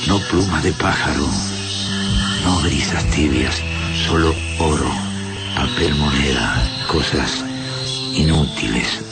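An actor playing a dying, gentle alien in a radio drama, weak and solemn, speaking in halting, oddly ordered phrases. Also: male voice, extremely low pitch, full character voice. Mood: weary, reverent. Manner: broken, oddly ordered foreign-sounding syntax, solemn halting delivery. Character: dying gentle male alien, noble, serene, awed by beauty. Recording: voice under loud music.

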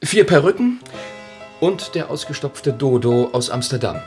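Man acting dry English male assistant, radio drama, medium pitch, stiff, dutiful, flustered, music underneath. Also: lively intonation, measured pace, a little mellow, natural voice. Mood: dry, reporting. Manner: itemising, stiff, slips into English. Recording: music behind the voice.

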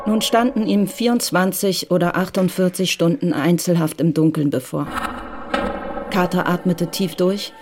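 A woman narrating a German radio play from a script in a measured, matter-of-fact way. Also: fairly low pitch, steady tone, very noisy.

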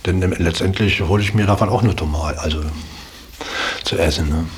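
A man's voice: low-pitched, moderate pace. A man in his late forties reflecting casually in loose, colloquial speech, often adding little tag questions. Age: late 40s. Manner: colloquial, loose, seeks agreement with tags. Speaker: interviewee, working-class caretaker.

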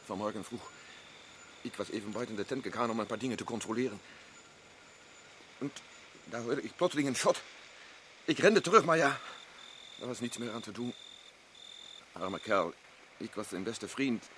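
A man's voice: fairly low pitch, moderate pace, pauses often, a bit bright, a little hiss or hum, close to an everyday voice. A man playing a nervous, sick explorer in a radio play, talking in a pleading, shaken way. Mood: grieving. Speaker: expedition member.

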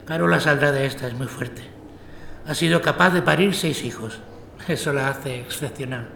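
A man's voice: mid-range voice, normal speed, vocal fry, sharp tone, roomy sound, slight background noise. A man acting a concerned, kindly husband and family man in a Spanish radio drama. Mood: gentle.

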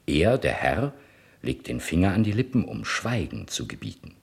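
Deep, very raspy male radio narrator. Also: sing-song. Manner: measured, storytelling. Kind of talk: scripted, theatrical 1960s radio narration.

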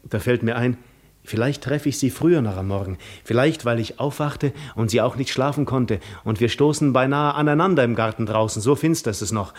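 An actor in a 1950s German radio play monologue, playing a restless, yearning seafarer lost in reverie, with lyrical, dramatic delivery that moves between hushed and fervent. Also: male voice, medium pitch, fairly quick, natural voice. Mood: tender reverie.

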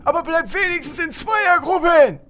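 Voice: very high-pitched, expressive, normal speed, sharp tone, full character voice. An actor playing a boisterous, overconfident expedition leader in a comedic fantasy audio drama parody. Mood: resigned. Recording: faint background noise.

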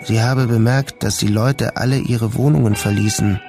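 A male narrator reads scripted literary prose for a radio drama. His phrasing is sober and deliberate, at a medium pitch, with music clearly audible behind him. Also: steady tone.

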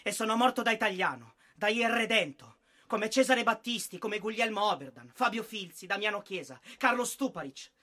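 An actor playing a bitter, disillusioned young soldier killed in war, in a husky, theatrical monologue. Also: male voice, high-pitched, lively intonation, moderate pace, sharp tone, exaggerated voice. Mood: proud. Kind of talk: dramatic stage monologue, radio drama.

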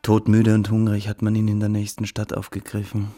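An actor in a radio play delivering a formal, declamatory report of school events. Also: male voice, on the low side, completely flat delivery, brisk, mellow, faint music behind, natural voice. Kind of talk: scripted radio play acting.

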